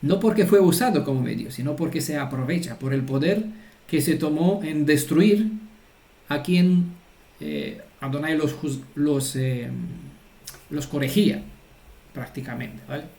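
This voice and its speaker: Guy giving a torah talk, kinda high voice, earnest, keeps checking in, roomy echo. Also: measured pace, warm tone. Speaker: Torah teacher. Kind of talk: informal religious teaching.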